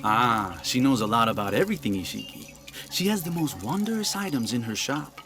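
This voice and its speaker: A man's voice: medium pitch, animated, moderate pace, close to an everyday voice. An actor playing a proud, roguish gambler in a video game, sly and philosophical as he teaches. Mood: sly, amused. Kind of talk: video game cutscene acting. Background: music.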